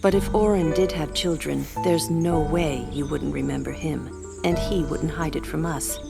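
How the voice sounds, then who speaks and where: Low, stern, skeptical woman. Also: fairly even intonation, normal speed, creaky. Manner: challenging, blunt. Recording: game audio with music behind.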